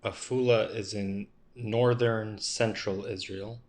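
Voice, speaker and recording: Man, lowish voice, interpreter voicing a guest, measured and unhurried, interview answers. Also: steady tone, a little mellow. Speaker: interpreter voicing a signing artist.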